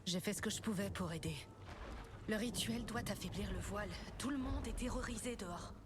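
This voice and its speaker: An actress playing a caring, capable scout companion in a video game. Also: female voice, mid-range voice, fairly quick, very noisy, lightly acted. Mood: concerned.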